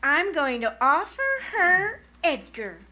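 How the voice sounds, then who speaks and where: A woman's voice: high-pitched, very animated, slow pace, sharp tone, full character voice. A woman playing a bossy, scheming teenage girl in old-time radio comedy style, emphatic and wheedling. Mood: scheming, eager. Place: live on a small theater stage.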